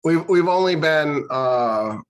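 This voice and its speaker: A man's voice: a little high, expressive, takes it slowly, poor audio. A man, a government professor, introducing himself on a video call panel in a wry, self-deprecating way.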